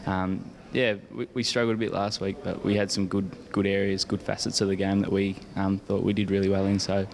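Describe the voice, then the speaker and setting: A man's voice: deep voice, little pitch movement, a little fast, creaky, few pauses. A young man with an Australian accent giving casual, hesitant, rambling answers as an interviewed athlete. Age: young adult. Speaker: Australian rules footballer being interviewed.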